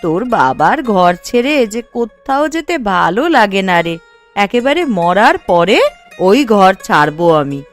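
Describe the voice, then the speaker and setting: A woman voicing a tender, stubborn elderly widowed mother in a village cartoon, speaking slowly and gently chiding. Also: medium pitch, big pitch swings, soft background music. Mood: tender, resigned. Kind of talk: Bengali cartoon dialogue.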